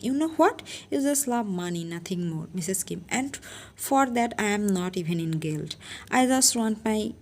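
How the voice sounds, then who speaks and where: A woman reading a protective father who scolds firmly. The voice stays close to her own middle-pitched tone. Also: a little mellow, lightly acted. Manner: scolding and firm. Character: stern protective father, adult man.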